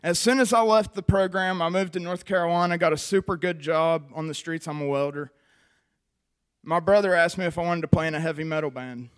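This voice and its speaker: A young man with a West Virginia accent shares his testimony before a church crowd. His voice sits a little high for a man, and he talks in an earnest, conversational way, often pausing with a soft "um.". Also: fairly even intonation, smooth. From West Virginia, USA. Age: 20s. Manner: earnest, conversational, frequent "um" pauses. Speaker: recovery program member giving testimony. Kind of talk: personal testimony to a church audience.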